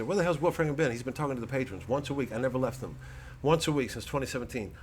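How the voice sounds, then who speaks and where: A man giving a rambling, casual solo monologue as a comedian plugging his work, irreverent, digressive and profane. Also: on the low side. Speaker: comedian promoting his show and shop.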